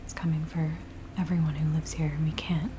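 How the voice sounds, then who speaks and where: A woman playing a frightened villager in a soft, breathy, whispered ASMR roleplay, speaking in a hushed, hesitant way. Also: low-pitched, lightly acted. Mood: fearful. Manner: hushed, hesitant, slow storytelling. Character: frightened village woman pleading with a werewolf tracker. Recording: steady rain-like background noise. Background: rain sounds.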